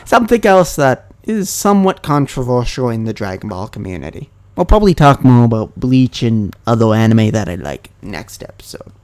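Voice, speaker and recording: A man's voice: mid-range voice, sing-song, normal speed, hardly any pauses, a little mellow. A young man talking casually to camera about anime, hesitant and full of ums and self-corrections. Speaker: anime commentary youtuber. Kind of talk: casual rambling monologue.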